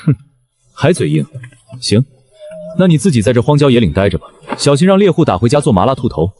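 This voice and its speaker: Cocky young general played by a man, wildly animated. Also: medium pitch, a little fast, natural voice. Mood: mocking. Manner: mocking, theatrical. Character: brash teasing young male general, cocky and roguish. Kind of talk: Chinese animated web drama voice acting.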